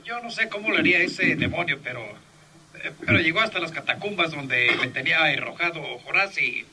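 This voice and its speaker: An actor with a Mexican accent playing an indignant, blustering police captain in an old radio serial. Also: male voice, fairly high pitch, expressive, a little fast, sharp tone, a little hiss or hum, poor audio.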